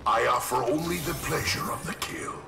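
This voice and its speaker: An actor voicing a hulking, menacing, arrogant masked villain in a video game, making grandiose threats. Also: male voice, medium pitch, expressive, natural voice. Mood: proud. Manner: slow, menacing, grandiose declarations. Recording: loud music behind, echoing sound.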